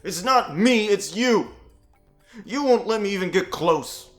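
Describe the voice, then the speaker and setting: High-voiced defiant young prisoner played by a man. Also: expressive, measured pace, fairly bright tone, faint music behind. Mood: angry, tearful. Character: defiant, hot-headed young male prisoner and student. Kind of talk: audio drama acting.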